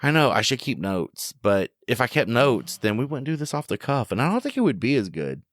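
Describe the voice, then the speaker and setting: A man of mid pitch talks loosely on a podcast, his voice rising and falling a lot as he jokes and curses. The sound is close and dry, with no room around it. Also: sing-song, brisk, no echo. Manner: crude, joking, swears a lot. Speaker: podcast co-host. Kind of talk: casual chat.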